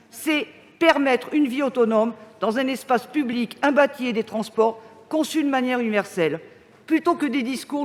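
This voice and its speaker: A woman opposition councillor giving a formal, prepared council speech in a firm, critical and deliberate manner. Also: fairly high pitch. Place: large council meeting hall. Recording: reverberant council chamber sound.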